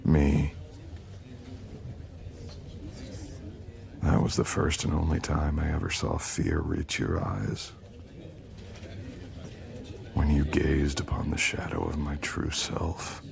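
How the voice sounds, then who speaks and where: Man playing a very deep, gravelly, possessive shadow boyfriend. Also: exaggerated voice. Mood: dark, nostalgic. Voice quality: deep, gravelly, often breathy and whispered. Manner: slow, brooding, teasing intimate delivery. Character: possessive, menacing male shadow-wielding supernatural boyfriend. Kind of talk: romantic ASMR audio roleplay.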